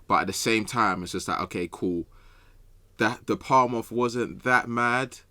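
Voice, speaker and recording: Low-voiced young male pundit, slangy casual chat, close dry sound. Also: measured pace, bright, forceful tone, no room sound. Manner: informal, slangy, repeats phrases. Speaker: podcast panellist.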